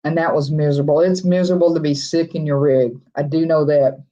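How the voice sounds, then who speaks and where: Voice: mid-range voice, steady tone, a little fast, mellow. Chatty host on dull, lo-fi audio. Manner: rambling, friendly, chuckles often, many restarts. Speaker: RV-living livestream host. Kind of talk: casual live chat, reading viewer comments aloud.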